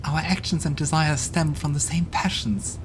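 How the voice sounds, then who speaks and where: A man's voice: on the high side, fairly even intonation, moderate pace, background noise, voice pushed up, lightly acted. Man voicing a curious, playful female demon. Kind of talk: voiced game playthrough. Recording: music in the background.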